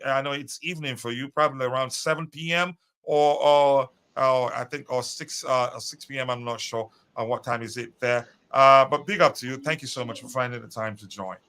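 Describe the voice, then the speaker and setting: Bright-voiced male stream host. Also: medium pitch, smooth. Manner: chatty, full of fillers, greets viewers by name. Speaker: host of a live fan stream. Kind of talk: casual live-stream chat.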